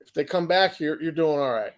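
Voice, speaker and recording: A man's voice: on the high side, brisk. A man in his fifties hosting an interview, joking and laughing often with short replies. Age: 50s. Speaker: podcast host. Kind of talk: casual interview chat.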